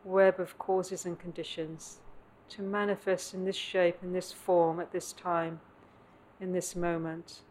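A woman teacher guides a meditation in a lowish, very even voice. She speaks in measured, contemplative phrases and leaves long pauses between them. The live recording carries audible room ambience and noise behind her. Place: meditation center, live session.

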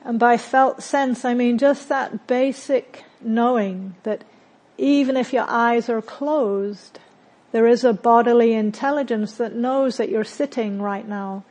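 A woman teaching meditation, guiding a body scan in a calm, gentle way with long pauses between short phrases. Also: fairly high pitch.

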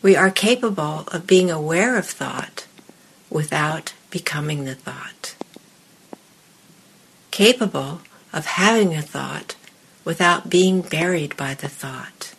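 A woman meditation teacher speaking to a group in measured, deliberate short phrases with pauses, her voice husky and breathy. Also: deep voice, expressive, slight background noise, poor audio. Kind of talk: guided meditation and dharma talk to a group in the room.

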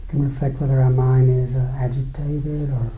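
A man teaching guided meditation, speaking in short, unhurried phrases with pauses between them. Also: mid-range voice, little pitch movement, dark tone. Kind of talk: guided meditation, dharma talk. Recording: roomy, echoing space.

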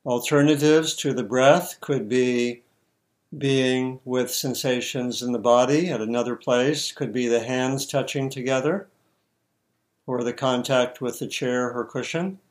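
A man teaching meditation, giving guided instructions in short, measured phrases with long pauses. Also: medium pitch, steady tone.

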